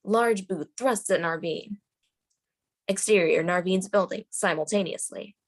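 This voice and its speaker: A woman's voice: fairly low pitch, unhurried. A woman narrator reading screenplay stage directions aloud at a table read.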